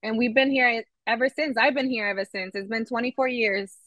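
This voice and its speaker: A woman in her thirties with a New York accent, a podcast guest talking in a relaxed, reflective way with many filler words. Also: a little high, fairly quick, dry recording. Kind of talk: casual conversational interview.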